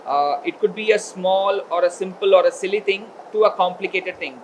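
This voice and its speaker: A man giving a formal welcome speech at a college event, pausing often with fillers. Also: high voice, a little hiss or hum. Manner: hesitant, many filler pauses. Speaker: education consultancy representative.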